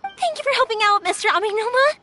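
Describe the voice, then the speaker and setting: A woman's voice: extremely high pitch, animated, fast talker, pushed and bright, full character voice. A woman voicing a bubbly, chatty young fireworks maker in a video game, friendly and exclamatory, with music behind her. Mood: delighted, grateful. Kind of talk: scripted video game dialogue. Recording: music under the voice.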